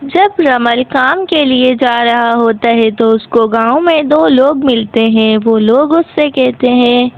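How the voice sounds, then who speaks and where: High-pitched woman narrating, slow pace. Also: narrow, tinny audio. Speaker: storyteller. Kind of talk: scripted moral story narration in simple words.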